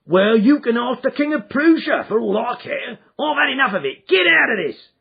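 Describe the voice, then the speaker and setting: A surly market salesman , as a male reader puts him on, bellows in a strained, very high, gravelly voice. He is short-tempered and rough, shouting to drive a pest away. The storybook recording is dull and muffled. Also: sing-song, moderate pace, exaggerated voice. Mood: furious. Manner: angry, bellowing.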